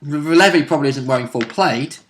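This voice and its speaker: A man's voice: a little high, quick pace, a bit bright. A man in a casual chat about a tabletop game, commenting wryly as a player and co-host.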